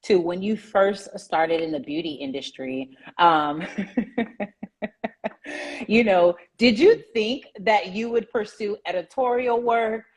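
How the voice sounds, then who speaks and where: A woman's voice: mid-range voice, takes it slowly. A woman hosting an interview, introducing her guest and asking about her beginnings in an encouraging, chatty way. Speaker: host. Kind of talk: casual interview.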